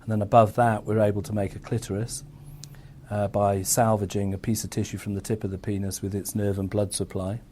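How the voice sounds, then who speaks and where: Mellow low-voiced surgeon explaining calmly. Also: male voice, slight background noise. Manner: measured, clinical, matter-of-fact. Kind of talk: explaining a medical procedure.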